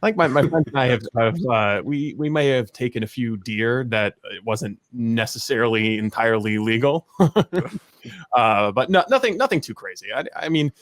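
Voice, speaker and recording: A man's voice: mid-range voice, lively intonation, moderate pace, a bit bright, no room sound. A man from rural Maine chatting casually as an interview guest, with dry, self-deprecating jokes and some swearing. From rural Maine, USA. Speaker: podcast guest, a media producer. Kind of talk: casual interview chat, joking.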